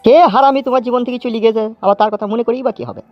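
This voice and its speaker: A man voicing an eager, wheedling suitor in an animated comedy. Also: very high-pitched, racing, no room sound. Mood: eager, oily. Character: wheedling, eager male suitor pushing for marriage. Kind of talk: animated Bengali comedy dialogue. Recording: dull lo-fi track, faint music.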